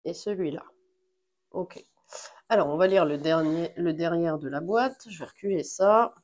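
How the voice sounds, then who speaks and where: Slow-talking woman with a cold. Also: fairly low pitch. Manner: slow, relaxed, thinking aloud with fillers. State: has a cold. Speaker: board game reviewer. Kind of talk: casual unboxing chat.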